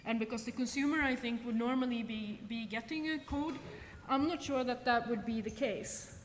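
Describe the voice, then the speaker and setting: A woman's voice: fairly high pitch, normal speed. Woman speaking in a reverberant hall. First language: Swedish. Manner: thinks aloud with frequent ums and restarts. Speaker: conference speaker. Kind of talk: conference talk and Q&A answers. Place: large lecture hall. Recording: long hall reverb, faint noise.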